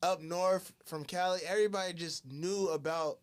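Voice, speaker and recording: A man's voice: high voice, fairly even intonation, normal speed, clean tone. A man hosting a casual podcast, asking questions and chiming in eagerly and agreeably. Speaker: podcast host.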